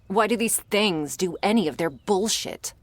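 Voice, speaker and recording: A woman playing a jaded, prickly monster hunter in a roleplay audio drama, blunt and sardonic, with a low gravelly voice. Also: expressive, a little slow, no echo. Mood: irritated, defiant.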